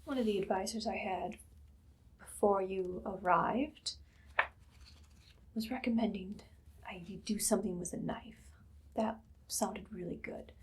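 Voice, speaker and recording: A woman playing an imperious, idly cruel evil queen in an ASMR roleplay, soft-spoken and often whispering in a languid, condescending way. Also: mid-range voice, fairly even intonation, a little slow, pauses often, a little hiss or hum, natural voice. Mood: menacing amusement. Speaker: ASMR performer.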